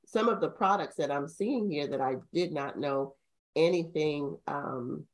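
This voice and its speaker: Slow, low-voiced older woman host. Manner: halting, with many ums. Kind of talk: casual video call interview. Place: home room. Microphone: video call.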